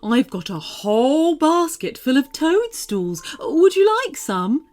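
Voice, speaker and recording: A woman voicing a friendly female goblin with a loud, hearty, odd-sounding voice. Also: high voice, very animated, slow pace, pitched above the performer's own voice, exaggerated voice.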